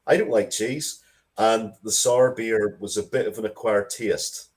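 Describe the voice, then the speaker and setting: A man talking casually as an interview guest, halting and wry, often restarting his sentences. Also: lowish voice, steady tone, a little mellow. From Ireland. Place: basement, at home.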